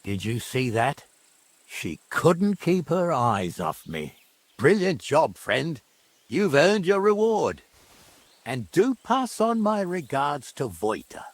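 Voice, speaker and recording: A pompous, high-voiced gallant bailiff. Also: male voice, very animated, slow pace, no echo, full character voice. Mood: smug, delighted. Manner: pompous, theatrical courtly flourish. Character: boastful, gallant bailiff playing the chivalrous hero. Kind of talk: video game period drama acting.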